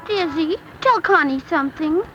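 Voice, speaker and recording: A woman's voice: very high voice, expressive, normal speed, a bit bright, soft background music. A woman playing a sociable, chatty teenage girl in a 1930s radio comedy. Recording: muffled, noisy 1939 radio recording.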